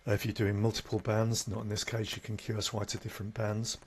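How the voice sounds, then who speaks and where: A man presenting an informal step-by-step software tutorial, matter-of-fact and a little halting as he demonstrates. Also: fairly low pitch, monotone, breathy edge.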